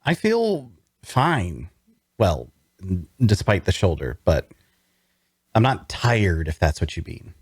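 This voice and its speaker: A man's voice: low voice, big pitch swings, slow pace, long pauses, slightly rough, no echo, studio quality, heavily acted. A man playing a wounded, bookish prisoner in an audio drama table read, wary and inquisitive, with a hesitant, questioning delivery. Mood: guarded.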